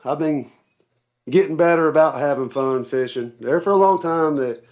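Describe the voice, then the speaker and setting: A man being interviewed casually as a guest over the phone, speaking in a plain, low-key, somewhat hesitant way. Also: moderate pace, darkish tone. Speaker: guest, tournament kayak angler. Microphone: remote phone call. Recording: dull, band-limited phone call audio.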